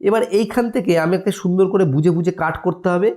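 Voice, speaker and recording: A man's voice: high voice, fast talker, mellow. A man hosting a casual app tutorial, explaining step by step and often checking in with listeners. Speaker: tutorial host.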